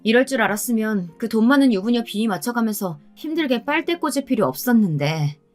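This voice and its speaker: A woman's voice: on the high side, expressive, a little fast, a little mellow, soft background music, pitched above the performer's own voice, lightly acted. A woman narrator voicing a scornful, betrayed young woman who taunts her boyfriend in an audio story. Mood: scornful, taunting. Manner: taunting, sarcastic. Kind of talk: acted dialogue in audio story.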